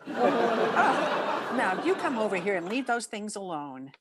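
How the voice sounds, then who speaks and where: A woman's voice: mid-range voice, big pitch swings, slow pace, crowd noise, background noise. A woman playing a wry, wisecracking single woman with dry, sardonic delivery in an old-time radio comedy. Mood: wistful, wry. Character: Miss Brooks, wry, wisecracking single woman, a cat owner.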